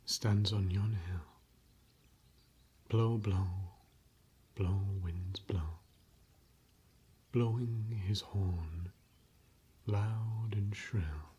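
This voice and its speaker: A man softly whispering as a gentle scholar of ancient elvish lore, in an ASMR roleplay. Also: lowish voice, breathy edge, heavily acted. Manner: soft whispering. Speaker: ASMR performer.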